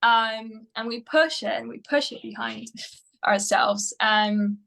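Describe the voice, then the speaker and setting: Bright-voiced Polish woman, halting speech. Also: fairly high pitch, vocal fry, low-quality recording. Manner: hesitant, many fillers and restarts. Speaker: mental performance coach, guest. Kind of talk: podcast interview answers.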